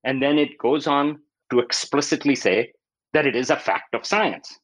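A man's voice: medium pitch, normal speed, poor audio. A man in his fifties with a Pakistani accent, an academic explaining research in an interview and often acting out quotes as he goes. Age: 50s.

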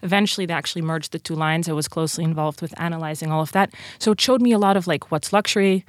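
A woman's voice: low-pitched, fast talker, no room sound. A woman in her thirties with a Dutch accent, chatting informally as she answers podcast interview questions and tells stories. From Netherlands. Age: 30s. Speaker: podcast guest, company co-founder.

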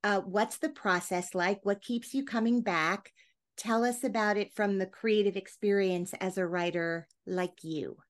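Woman interviewer with a medium-pitched voice. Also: fairly even intonation, measured pace. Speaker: interviewer, host. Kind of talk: conversational interview questions.

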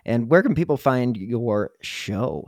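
A man's voice: medium pitch, animated, slow, darkish tone, no room sound, clean studio sound. A man hosting a podcast, talking in a conversational interviewing style. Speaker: podcast host. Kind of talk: conversational interview and show sign-off.

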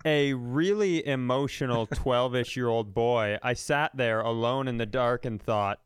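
A man's voice: mid-range voice, a little slow, clear, resonant tone, dry recording, clean studio sound. An American man in his thirties co-hosting a comedy podcast, telling stories emphatically and with casual profanity.

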